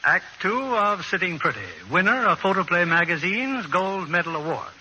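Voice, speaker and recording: High-voiced man hosting vintage radio drama. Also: lively intonation, normal speed, a little hiss or hum, low-quality recording. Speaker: host and producer of radio drama show. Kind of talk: formal 1940s radio narration. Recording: old radio recording with music behind. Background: loud music.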